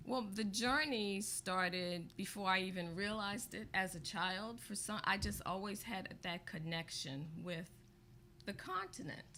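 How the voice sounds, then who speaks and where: A woman's voice: medium pitch, measured pace, sharp tone, a little hiss or hum. A woman from Baltimore telling her story as an interview guest, with light laughs. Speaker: guest, charity founder. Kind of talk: interview answers.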